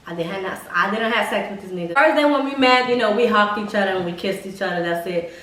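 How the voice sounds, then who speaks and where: A young woman casually telling personal stories to camera in a blunt, expressive, slangy way with plenty of swearing. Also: medium pitch, fast. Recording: echoey room, mic at a distance.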